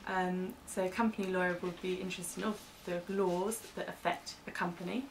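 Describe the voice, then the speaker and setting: A woman's voice: fairly low pitch, fairly even intonation, a little fast. A British woman giving informal, loosely hedged explanations in a training interview, as the interviewee. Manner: hedging, informal explanations with "kind of". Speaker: interviewee explaining legal terms for staff training. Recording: distant mic, faint background noise.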